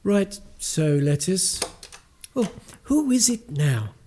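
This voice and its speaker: Mellow, fussy village vicar. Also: male voice, high-pitched, sing-song, slow, slight background noise, full character voice. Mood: officious. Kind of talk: radio drama acting.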